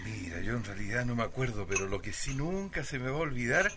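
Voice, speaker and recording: An actor playing an excitable, fond grown-up brother reminiscing in a radio drama. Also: male voice, medium pitch, quick pace, background noise, lightly acted. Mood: nostalgic. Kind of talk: radio drama dialogue, casual.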